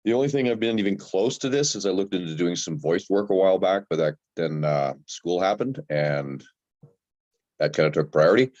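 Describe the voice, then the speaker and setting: A man's voice: low-pitched, expressive, fairly quick, a little mellow, dry recording. A man introducing himself casually in short, understated sentences. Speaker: personal trainer, newcomer to acting. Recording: dull, lo-fi microphone sound.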